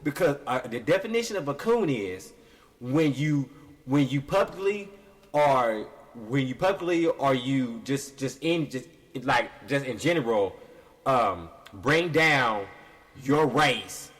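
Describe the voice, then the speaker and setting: A man's voice: mid-range voice, lively intonation, takes it slowly, a bit bright. A man in his thirties hosting a casual podcast chat, telling stories with emphatic repetition. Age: 30s. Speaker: podcast host.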